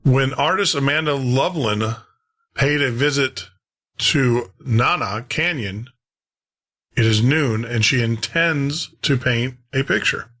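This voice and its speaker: A man hosting a late-night podcast, presenting casually with measured pauses. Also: medium pitch, bright, forceful tone. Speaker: podcast host.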